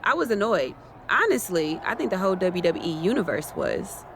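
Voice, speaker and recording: Animated, swaggering wrestling champion. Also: female voice, fairly low pitch, moderate pace, background noise. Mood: cocky, playful. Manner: brash, playful. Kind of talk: naturalistic video game cutscene acting.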